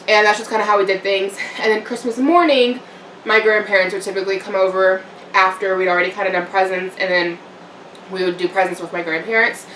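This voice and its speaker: A woman's voice: mid-range voice, a bit bright, a little hiss or hum. A young woman chatting casually while eating on camera, rambling with fillers and little laughs. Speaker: vlogger. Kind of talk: casual eating-show chat. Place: home room, eating on camera. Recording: echoey room, mic a bit far off.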